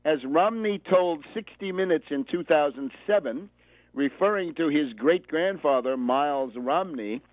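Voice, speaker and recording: A man's voice: a little high, lively intonation, unhurried, no echo. An American man giving political radio commentary like a lecture, didactic and emphatic, hammering his points with insistent repetition. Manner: didactic, often checks with "right?". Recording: narrow phone-like radio audio.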